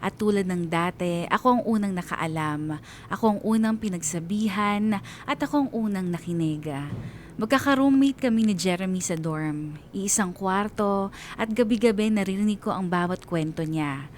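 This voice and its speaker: Woman narrating drama over rumbling noise. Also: lively intonation, moderate pace. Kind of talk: dramatic scripted first-person narration. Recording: clear rumbling background noise.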